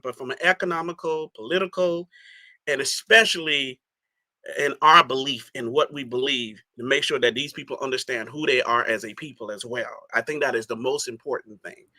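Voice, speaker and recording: A man's voice: fairly high pitch, bright, forceful tone, dry recording. A man from the Bahamas, a guest in a casual interview, talking emphatically and often checking in with his listener. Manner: emphatic, repeats "you see what I'm saying".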